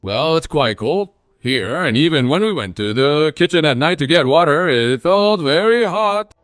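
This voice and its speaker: A man's voice: fairly high pitch, lively intonation, normal speed, fairly bright tone, dry recording. Dry-sounding cartoon family man, acted by a man. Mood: plain. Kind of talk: cartoon story dialogue.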